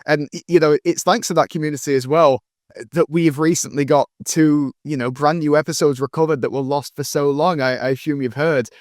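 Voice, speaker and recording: A man's voice: fairly high pitch, steady tone, a little fast, no echo, clean studio sound. A man hosting a casual fan interview in an eager, effusive manner. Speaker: interviewer, host.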